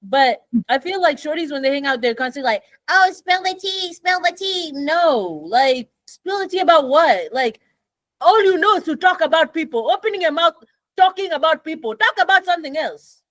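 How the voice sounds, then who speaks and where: A blunt young woman with a Kenyan accent co-hosts a casual podcast, and her voice sits a touch high. She swears freely and gets animated when she rants. The sound is dry and close to the mic. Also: normal speed, no room sound. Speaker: podcast co-host. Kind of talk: casual chat.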